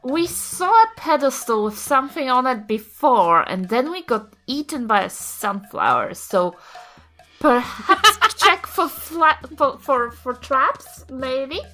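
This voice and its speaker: Female game player, bright and slow-talking, playful, halting casual delivery with repeated words. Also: high-pitched, very animated, faint music behind.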